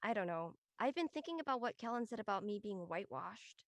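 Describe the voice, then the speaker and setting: A woman's voice: mid-range voice, animated, fairly quick, no room sound, lightly acted. A young woman acting a sincere, conversational college student in an online drama. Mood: reflective, subdued. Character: earnest young Filipino-American college woman, frank among friends.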